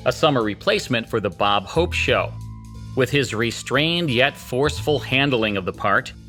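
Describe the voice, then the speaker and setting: Bright-voiced male podcast host. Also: mid-range voice. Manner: polished, announcer-like delivery. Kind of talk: scripted introduction.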